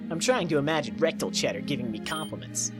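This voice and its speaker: A man's voice: a little high, animated, fast talker, over loud music. A man hosting a let's play, reading a visual novel aloud with jokey, irreverent asides. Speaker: let's play host and reader.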